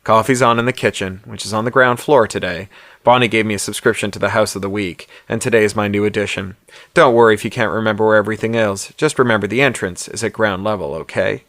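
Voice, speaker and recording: A man reading the part of a breezy, campy, muscular party hostess in story dialogue, brisk and teasing. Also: on the low side, fairly even intonation, a bit bright, no echo, studio quality. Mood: breezy, camp. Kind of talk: audiobook character dialogue.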